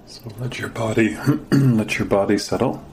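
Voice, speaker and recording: A man leading a guided meditation as a teacher, giving gentle, sparse instructions with long pauses. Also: on the low side, slight background noise.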